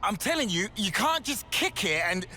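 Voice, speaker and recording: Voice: mid-range voice, sing-song, normal speed, bright, forceful tone, faint music behind. An actor playing a flustered, exasperated young mechanic in a game cutscene.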